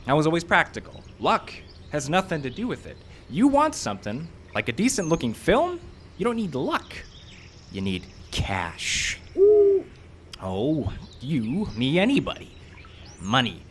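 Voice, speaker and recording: A man's voice: mid-range voice, fairly bright tone. A man playing a hapless, self-doubting filmmaker in a live audio drama, rambling in a jittery, self-mocking way. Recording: outdoor live stage, faint background noise.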